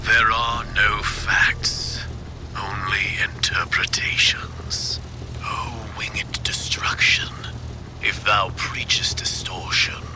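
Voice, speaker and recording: Solemn male dark overlord, deepish, half-whispered, ceremonial, video game, music behind. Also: low-quality recording. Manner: solemn, archaic, portentous. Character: grave, imperious dark lord chanting incantations. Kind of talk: video game cutscene acting.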